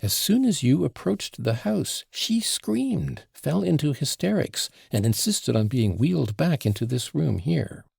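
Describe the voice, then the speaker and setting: A fretful, excitable society mother wails and gushes, her voice leaping high and swooping all over, as a male audiobook reader puts her on. The tone is gravelly and husky, recorded close and dry. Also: unhurried, few pauses, no echo, heavily acted. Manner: gushing, breathless, high and swooping. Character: fretful excitable wealthy woman, middle-aged mother. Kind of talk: audiobook reading of a classic novel.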